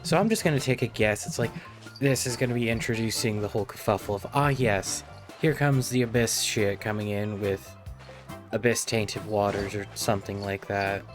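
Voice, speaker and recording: A man's voice: on the low side, moderate pace. Casual male game streamer over music. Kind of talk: casual live commentary, reading game lines aloud.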